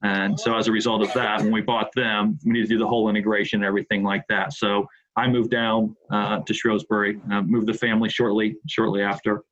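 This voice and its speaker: Man, American Midwestern accent, middle-aged, podcast guest, low pitch, muffled lo-fi audio. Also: little pitch movement, a little fast, few pauses, a bit bright. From Indianapolis, USA. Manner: rambling, repeats words when starting a thought. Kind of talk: casual interview chat.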